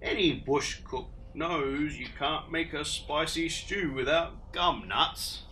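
Man voicing a slow, sleepy koala. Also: on the high side, bright, forceful tone, far from the mic, slight background noise. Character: sleepy, yawning, know-it-all koala. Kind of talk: children's storybook read aloud.

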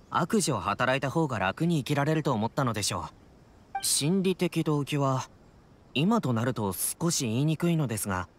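Male actor for earnest samurai officer, young, polite official manner, animated mid-range voice, anime game. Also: mid-range voice, natural voice.

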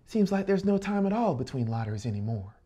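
A man's voice: sits high, sing-song, quick pace, dark tone, voice pushed up. A man voicing a folksy small-town housewife in an audiobook, chatting in a homely way. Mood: chatty. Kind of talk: dramatic audiobook reading.

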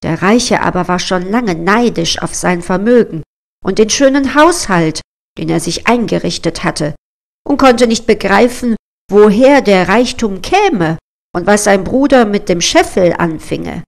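Unhurried woman reading a fairy tale. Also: mid-range voice, creaky. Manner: measured, expressive storybook reading. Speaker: storyteller. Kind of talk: scripted fairy-tale narration.

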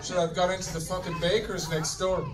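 A middle-aged Irish man works a club crowd with a deadpan, high-pitched voice. He swears freely and calls the room folks. The recording sounds distant, with loud audience laughter around him. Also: fairly quick, heavy background noise. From Ireland. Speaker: stand-up comedian. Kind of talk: stand-up comedy routine. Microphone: handheld mic, filmed from the audience.

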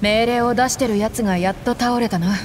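A woman's voice: fairly high pitch, steady tone, a little fast, heavy background noise, close to an everyday voice. A woman playing a tough, cool-headed mercenary fighter in an anime game, speaking in a confident, blunt, tomboyish way. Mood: cool, determined.

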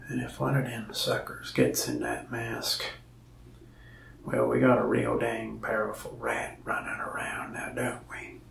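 Young male salesman for ASMR roleplay: heavy vocal fry, soft whispery folksy delivery, slow and unhurried, recorded from a little way off. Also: mid-range voice, roomy sound, far from the mic, a little hiss or hum. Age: young adult. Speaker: mask shop salesman in roleplay. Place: indoor room before a wall of masks.

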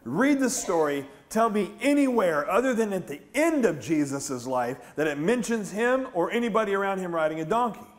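A middle-aged American man preaching a conversational sermon as a pastor, telling the story in an animated way and putting questions to his congregation. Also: high-pitched, normal speed, hardly any pauses. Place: large church stage, indoors.

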